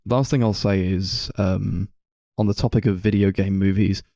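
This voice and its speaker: Dude chatting on a film podcast, low mellow voice, casual. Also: male voice, fairly even intonation, normal speed, no echo, studio quality. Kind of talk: casual film review chat.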